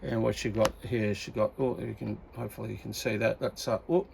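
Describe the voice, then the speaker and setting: An Australian man talking casually and unscripted as a hobbyist presenter, rambling and halting as he thinks aloud. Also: fairly low pitch, vocal fry. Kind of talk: casual unscripted product talk. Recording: steady background noise.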